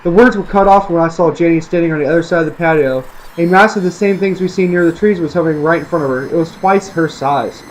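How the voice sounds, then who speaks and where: Man, fairly high voice, scary story narration, amateur storyteller, faint background noise. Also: fast, hardly any pauses, low-quality recording. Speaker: storyteller, online video creator. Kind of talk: scripted horror story narration.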